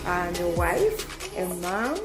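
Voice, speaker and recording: A woman from Ghana giving a testimonial, lively and rising on key words. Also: fairly low pitch, slow pace. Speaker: medical billing specialist. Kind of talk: promotional testimonial. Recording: echoey room with loud music.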